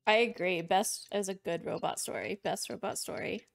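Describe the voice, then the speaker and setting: A woman casually commentating on a game live stream, reacting to it in an emotional, warm way. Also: medium pitch, moderate pace, vocal fry. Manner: emotional, reacting warmly to the story. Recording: game music behind the voice.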